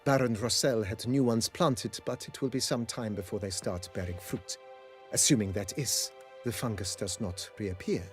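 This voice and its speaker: An actor playing a courteous, formal estate majordomo in a fantasy video game, speaking eloquently and deferentially. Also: male voice, on the low side, moderate pace, faint music behind, lightly acted.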